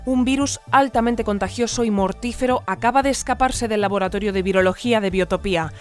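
Composed female bulletin presenter, played by an actress, measured delivery, music underneath, fictional audio drama. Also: mid-range voice, close to an everyday voice. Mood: composed, announcing. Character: composed female news presenter of a surreal science community. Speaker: news presenter. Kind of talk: scripted news bulletin. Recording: music bed behind the voice.

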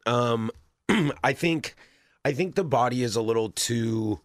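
A man's voice: mid-range voice, measured pace, no room sound, clean studio sound. A man co-hosting a casual beer-tasting chat, hesitant and stammering but playful. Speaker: podcast co-host.

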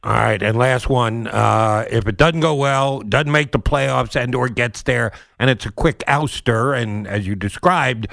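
A man's voice: mid-range voice, a little slow, creaky, few pauses, a bit bright, dry recording. A man hosting a casual sports radio interview, putting questions in blunt, informal phrasing.

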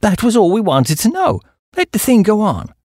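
A man as a hearty higher-pitched businessman. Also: wildly swooping pitch, normal speed, creaky, no room sound, clean studio sound, full character voice. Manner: formal, wordy, businesslike. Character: hearty, civic-boosting American businessman.

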